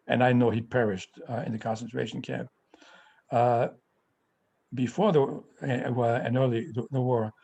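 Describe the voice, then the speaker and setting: Husky, mellow-voiced man in his eighties. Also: medium pitch. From France. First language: French. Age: 80s. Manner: halting, with many restarts and "yeah" fillers. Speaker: guest speaker answering audience questions. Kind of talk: recounting personal memories.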